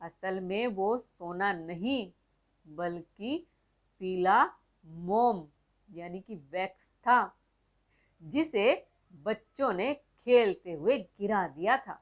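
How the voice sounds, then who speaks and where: A woman narrating a moral bedtime story for children, speaking gently and addressing the kids directly. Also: medium pitch, expressive, extremely slow, pauses often.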